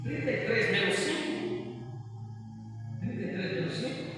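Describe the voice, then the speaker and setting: A man in his forties preaching a Pentecostal sermon as pastor, fervent, breaking into half-sung and shouted lines. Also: sits high, moderate pace. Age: 40s. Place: church hall. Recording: echoey church hall heard from far off. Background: music playing.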